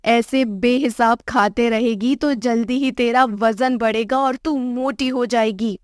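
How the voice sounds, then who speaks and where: High-voiced, nagging mother-in-law, acted by a woman. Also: fairly even intonation, a little slow, no echo, clean studio sound, natural voice. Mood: exasperated. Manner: haughty, nagging, insulting. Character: scolding, exasperated middle-aged mother-in-law of a rich household. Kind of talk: Hindi moral-story cartoon dialogue.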